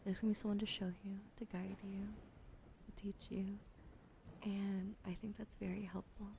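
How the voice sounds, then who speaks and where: Soft whispering woman with a gravelly edge. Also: medium pitch, little pitch movement, normal speed. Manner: greets and thanks chat. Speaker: streamer. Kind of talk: ASMR stream. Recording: noisy hiss behind the voice.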